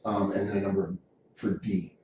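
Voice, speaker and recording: A man's voice: sits low, little pitch movement, normal speed, noisy background. A middle-aged man, an insurance agency owner, answering interview questions as an earnest, descriptive storyteller. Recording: distant mic, very echoey room, dull.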